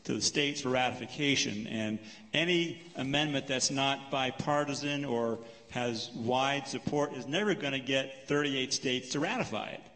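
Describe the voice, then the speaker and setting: A man moderating a lecture Q&A, paraphrasing a question and answering it in a measured, explanatory way, counting off his points. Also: mid-range voice, a bit bright. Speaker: panel moderator. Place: lecture hall. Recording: long hall reverb.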